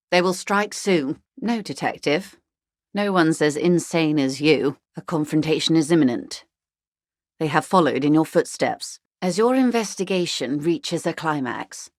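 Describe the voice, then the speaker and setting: Wealthy, weary corporate negotiator, video game role: fairly low female voice, eloquent and measured, very dry studio sound. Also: no room sound. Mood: urgent, grave. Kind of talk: literary video game dialogue acting.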